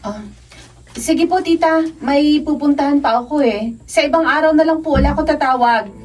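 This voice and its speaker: A woman with a Filipino accent playing a gentle, devout young novice nun in a radio drama. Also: high-pitched, moderate pace, close to an everyday voice. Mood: polite. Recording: distant mic, faint background noise.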